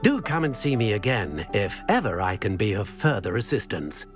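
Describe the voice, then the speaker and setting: An actor playing an elderly, eccentric wandmaker in a video game, gentle and fussy, musing and murmuring to himself. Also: male voice, fairly low pitch, big pitch swings, moderate pace. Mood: warm, instructive. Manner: murmuring hesitations, musing aloud, exclaiming. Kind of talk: theatrical video game cutscene acting. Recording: music behind the voice.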